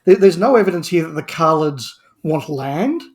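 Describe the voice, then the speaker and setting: A man with a fairly high voice talks as a podcast panelist. He is emphatic and analytical, often doubling up words as he builds an argument about drama. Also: animated, normal speed. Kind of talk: discussion of audio drama.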